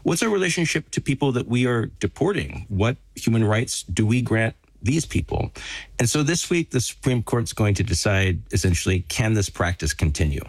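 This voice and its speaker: This is a man with a fairly low, crackly voice, recorded very dry. He talks like a reporter walking a host through an investigation, explanatory and measured, in a conversational podcast manner. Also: big pitch swings, dry recording. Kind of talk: conversational news podcast storytelling.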